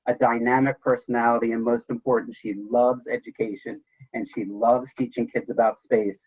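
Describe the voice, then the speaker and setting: A man hosting an interview as a teacher, with a husky voice and an enthusiastic, show-style delivery. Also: medium pitch. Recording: tinny, phone-like call audio.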